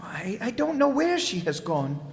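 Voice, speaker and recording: Guy acting as jittery pleading little man, old radio mystery, really high voice that goes up and down, stammers, hall echo. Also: big pitch swings, unhurried, exaggerated voice. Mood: evasive, flustered. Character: nervous, pleading, superstitious husband, a fraudster's accomplice. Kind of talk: old-time radio detective drama acting.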